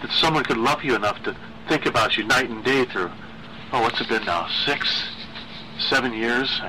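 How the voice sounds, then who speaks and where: A male narrator voices an eerily gentle, lovesick man from a horror story. He pleads in soft, halting phrases with a very bright edge, over some background noise. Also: medium pitch, poor audio. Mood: lovesick, dreamy. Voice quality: soft, sighing. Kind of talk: audio horror story reading.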